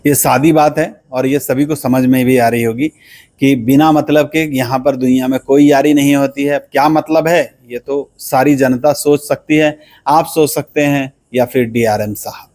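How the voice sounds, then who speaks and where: A male news reporter talks to his viewers in a spoken commentary. His mid-pitched voice is recorded dry and close, with no room sound. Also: fairly even intonation, moderate pace, a little mellow, no echo.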